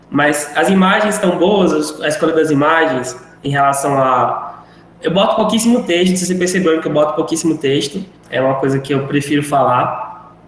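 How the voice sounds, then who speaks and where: A male teacher wrapping up a live online class in a chatty, rambling way. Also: fairly high pitch. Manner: rambling, chatty, self-deprecating. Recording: very echoey room, faint noise.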